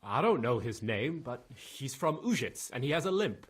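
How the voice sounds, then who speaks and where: A frightened young stable lad from a video game drama. His voice is husky and mid-pitched. He stammers and halts nervously, sounding guilt-ridden as he gives his account. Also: very animated, moderate pace. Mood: defensive, nervous. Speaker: video game character, witness being questioned. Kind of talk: dramatic game cutscene acting.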